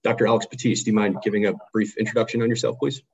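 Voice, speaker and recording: Deep-voiced male webinar host. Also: level tone, racing, gravelly, a little mellow, highs cut off. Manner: reads a scripted intro, then hesitant with fillers and restarts. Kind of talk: webinar moderation.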